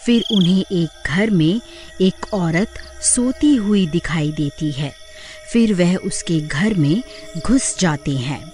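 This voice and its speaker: A woman reads a scripted horror tale as narrator. Her voice sits a little low and moves slowly and deliberately, with soft music underneath. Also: expressive.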